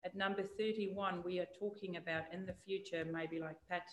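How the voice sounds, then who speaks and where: A woman's voice: on the low side, steady tone, moderate pace. Forthright New Zealand woman in a roomy chamber. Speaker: councillor. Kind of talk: raising concerns in a meeting.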